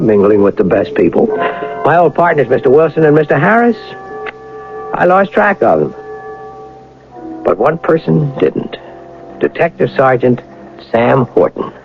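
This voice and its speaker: A man's voice: medium pitch, big pitch swings, normal speed. An actor playing an educated, proud young mastermind bank robber who narrates his story in a polished, reflective old-time radio drama style. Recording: dull vintage radio broadcast, music underneath.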